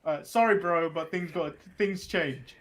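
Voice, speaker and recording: A man's voice: fairly high pitch, measured pace, fairly bright tone. A man with an English accent co-hosting a podcast in a casual, conversational style. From England. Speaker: wrestling podcast co-host. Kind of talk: casual chat, reading chat comments aloud.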